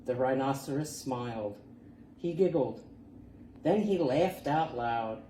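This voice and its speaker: Slow, expressive woman storyteller in a roomy space. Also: very deep voice. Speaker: library storytime reader. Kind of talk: animated children's story reading. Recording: roomy, distant mic, faint background noise.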